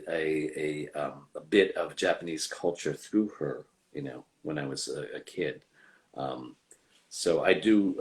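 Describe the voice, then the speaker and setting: A man, a voice actor guest, talking casually with frequent pauses, fillers and restarts. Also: rumbling low. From United States. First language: English. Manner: hesitant, many fillers and restarts. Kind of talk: casual conversational interview.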